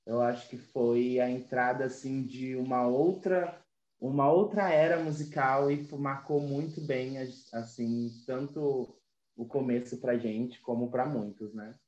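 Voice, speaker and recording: A Brazilian man in his twenties chats casually with a group. His pitch is average, and the recording is noticeably roomy and echoey. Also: fairly even intonation, measured pace, a little mellow, dull audio. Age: 20s. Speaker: podcast panelist.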